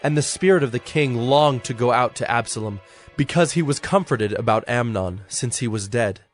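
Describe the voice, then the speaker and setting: A man narrating scripture from a script in a measured, solemn storytelling manner. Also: mid-range voice, soft background music.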